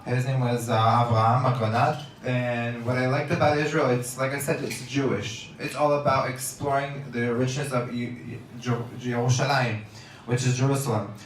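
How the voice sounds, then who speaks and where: A man's voice: mid-range voice, little pitch movement, normal speed. A man in casual radio chat as a guest, rambling with many fillers and restarts. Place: outdoors on a beach. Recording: roomy, distant mic, faint noise.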